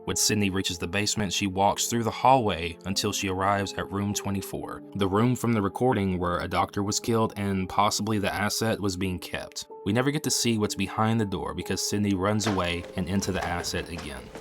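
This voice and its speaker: A man's voice: sits low, fairly quick, few pauses, soft background music. A man delivering scripted analytical narration as the host of a horror review video.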